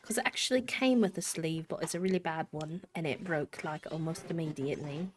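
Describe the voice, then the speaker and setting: A woman's voice: sits low, a little fast, slight background noise. An American woman chatting casually on a game stream in a rambling, self-deprecating way. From United States. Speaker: live streamer.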